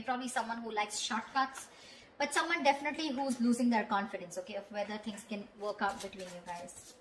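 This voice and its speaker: A woman giving an improvised tarot reading, talking directly to the listener in a conversational way and often checking in. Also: a little high. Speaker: tarot reader. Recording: echoey room with faint background noise.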